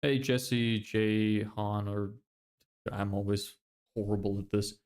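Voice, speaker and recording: A young man from Lithuania who grew up in Ireland, chatting casually on a livestream, relaxed and rambling with many restarts. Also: lowish voice, slow, clear, resonant tone. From Lithuania, raised in Ireland. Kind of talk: casual livestream chat, reading chat.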